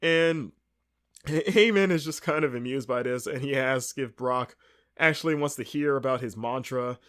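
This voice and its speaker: A man's voice: mid-range voice, moderate pace, fairly bright tone. A man with a stuffy, congested voice, casually recapping a wrestling show as podcast host in a rambling, wry, sarcastic way. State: congested, with sniffles.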